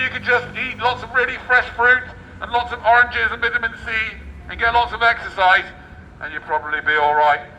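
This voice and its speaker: A high-voiced Englishman performs a satirical read-aloud outdoors, his tone very bright and cutting. He declaims sarcastically, then slips into muttered asides. The mic is some way off, with faint traffic noise around him. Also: far from the mic, rough recording. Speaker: street performer reading a newspaper aloud. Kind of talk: satirical public read-aloud with ad-libbed commentary. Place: outdoor public street.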